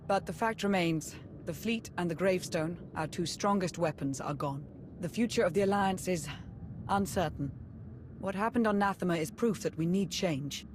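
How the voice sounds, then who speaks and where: A woman's voice: fairly low pitch, moderate pace, noisy background, lightly acted. A woman playing a composed, loyal military adviser in a video game. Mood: sober. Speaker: adviser to the commander. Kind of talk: dramatic video game acting.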